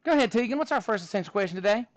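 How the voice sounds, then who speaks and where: A man with a Texan accent teaches a math class, his voice sitting high for a man. He jokes with the students between problems. The sound is dry and close, with no room echo. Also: lively intonation, very fast. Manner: chatty, joking asides to students. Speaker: middle school math teacher. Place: school classroom.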